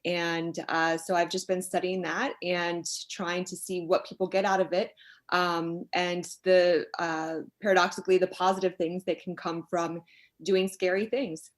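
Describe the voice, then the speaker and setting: A middle-aged woman from the United States, an expert guest explaining her work conversationally in a thoughtful, halting, reflective way. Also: fairly low pitch, level tone. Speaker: expert guest, sociologist and author.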